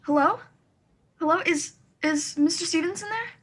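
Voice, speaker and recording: A high-pitched woman's voice in a suspense radio play acted by a woman. She is an anxious, sickly wife who demands to be heard, fretful and theatrical in her delivery. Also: normal speed, fairly bright tone.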